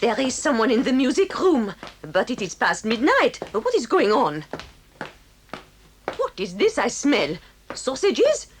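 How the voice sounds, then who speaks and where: A woman playing an excitable, indignant schoolmistress with a French accent and theatrical, exclamatory delivery in an audio drama. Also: fairly high pitch, unhurried, slight background noise. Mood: shocked, bewildered.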